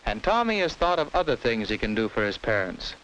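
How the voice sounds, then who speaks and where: A man's voice: mid-range voice, very animated, fairly quick, a bit bright, slight background noise, low-quality recording. An American man narrating a 1950s educational film in simple, instructive storytelling addressed to children, on a vintage film soundtrack.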